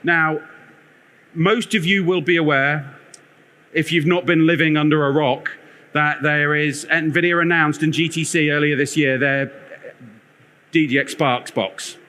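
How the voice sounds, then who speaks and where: A man giving a lively conference product talk with a loud, energetic, self-deprecating manner as he wanders the stage. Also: fairly high pitch, unhurried, pauses often. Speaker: conference speaker, product lead. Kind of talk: live conference presentation. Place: conference theatre.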